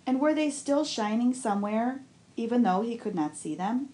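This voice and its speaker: A woman on a school's staff reading a children's novel aloud, with expressive storybook narration. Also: fairly high pitch, normal speed.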